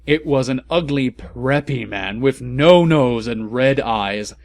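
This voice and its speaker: A man's voice: mid-range voice, slow, darkish tone, no echo. A man giving a comedic, theatrical and mock-serious reading of amateur fan fiction as its narrator. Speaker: narrator and commentator.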